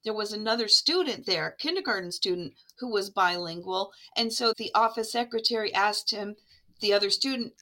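A woman with a bright, forward voice shares classroom memories on a podcast. She talks in an earnest, reflective way, building each story step by step at a mid pitch. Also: moderate pace. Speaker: guest, English learner program administrator.

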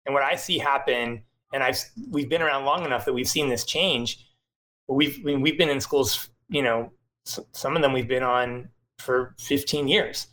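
A man with an ordinary mid-range voice speaks in an earnest, thoughtful way. He restarts phrases as he builds an explanation in a relaxed conversation. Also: medium pitch, normal speed, poor audio. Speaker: nonprofit co-founder, podcast guest. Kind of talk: casual chat.

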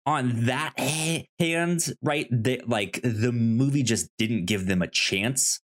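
A man co-hosting a movie podcast, talking casually and haltingly, often repeating words as he thinks aloud. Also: mid-range voice. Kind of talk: casual film discussion.

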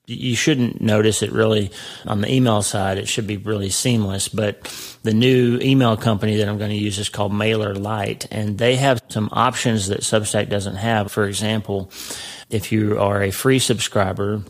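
A man hosting his own podcast in a chatty, casual way, his voice gravelly from sinus trouble. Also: on the low side, fairly even intonation, moderate pace. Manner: chatty, warm greeting, frequent restarts. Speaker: podcast host. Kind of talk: casual solo podcast talk.

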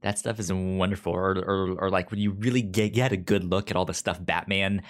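A man co-hosting a casual comic book discussion, enthusiastic, with a halting delivery that repeats words mid-thought. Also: low voice. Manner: enthusiastic, animated, breaks into mock quotes. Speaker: podcast co-host.

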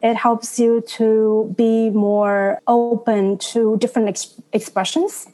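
A woman in her thirties, a university teacher, talking on a panel in a reflective, halting way with frequent restarts. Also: fairly high pitch, little pitch movement, dark tone, rough recording. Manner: hesitant, restarts often, reflective. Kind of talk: casual panel talk.

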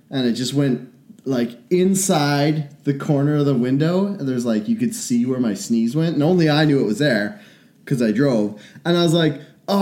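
A man, a little sick and raspy, co-hosting a podcast and telling rambling, self-mocking stories. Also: medium pitch, a little mellow. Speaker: podcast co-host. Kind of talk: casual podcast chat.

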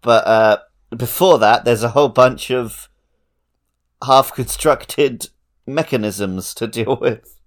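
A man's voice: mid-range voice, slow pace. A man co-hosting a podcast, chatting casually about video games in a conversational, explanatory way. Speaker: podcast co-host.

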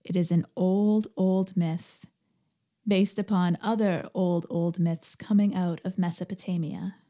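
Mellow-voiced woman hosting a podcast. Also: lowish voice, steady tone, unhurried. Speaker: podcast host. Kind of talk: explanatory podcast narration.

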